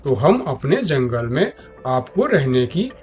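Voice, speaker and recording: Man voicing a slow, sceptical cartoon animal. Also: mid-range voice, sing-song, lo-fi sound, soft background music. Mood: disbelieving, scolding. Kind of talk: cartoon story character dialogue.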